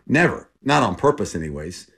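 A man in his sixties, raised in Thailand with Thai as his first language, telling personal stories earnestly in a halting way with many restarts. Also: on the low side, sing-song, creaky, a bit bright, slight background noise. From Texas-born, raised in Thailand. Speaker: interview guest. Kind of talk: emotional personal storytelling.

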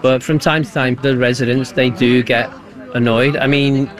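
A man's voice: mid-range voice, fairly even intonation, normal speed, crowd noise. Wry man on his street. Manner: wry, chatty storytelling. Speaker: local resident. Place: outdoors on a residential street.

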